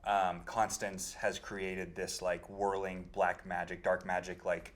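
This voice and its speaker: Male game player, lowish voice, casual and improvised, halting as he thinks aloud. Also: level tone. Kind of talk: casual improvised description of play.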